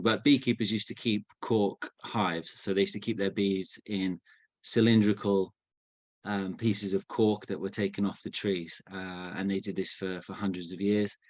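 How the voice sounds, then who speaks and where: A young man from England giving an informal talk over a video call, relaxed, with many fillers and restarts. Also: deep voice, dry recording. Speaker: guest speaker. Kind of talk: informal online presentation.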